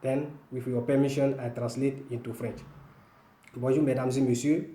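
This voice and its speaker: A man from northwestern Ivory Coast with an Ivorian accent, a native Woloduka speaker, giving an informal language lesson in a deliberate, explanatory way. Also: medium pitch, flat delivery, warm tone. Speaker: language teacher, video host. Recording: roomy, echoing room sound.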